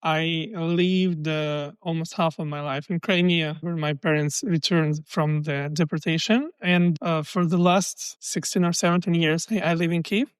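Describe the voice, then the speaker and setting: A man born in Uzbekistan introduces himself as a podcast guest in a smooth, somewhat high voice. He hesitates often and fills gaps with "uh", and the sound is close and dry. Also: fairly even intonation, unhurried, hardly any pauses, no echo, rough recording. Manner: hesitant, with many fillers. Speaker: guest, human rights expert.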